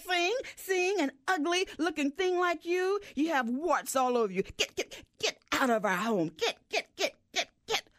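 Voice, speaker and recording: A woman voicing a bossy, scornful head bird who sneers in a shrill way. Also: animated, measured pace, fairly bright tone, dry recording, clean studio sound, full character voice.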